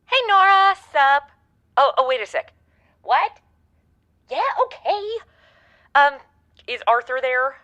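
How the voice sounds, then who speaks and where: Offhand, slangy young woman over a phone filter, bright and animated, comic audio drama. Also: high-pitched, takes it slowly, pauses often, dull audio, heavily acted. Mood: flippant, impatient. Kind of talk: comedic audio drama acting.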